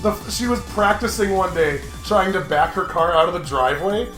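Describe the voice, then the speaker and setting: A man's voice: high voice, normal speed. Jokey young man over game music. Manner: jokey, animated storytelling prone to laughter. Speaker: gaming show host. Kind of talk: casual let's-play commentary with dramatic read-alouds.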